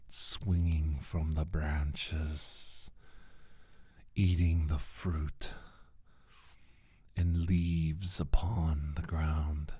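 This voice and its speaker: A man voicing a scornful, menacing alien reptilian scientist in a soft-spoken, half-whispered ASMR roleplay, taunting and condescending, with a breathy, husky voice. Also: a bass voice, no echo, heavily acted.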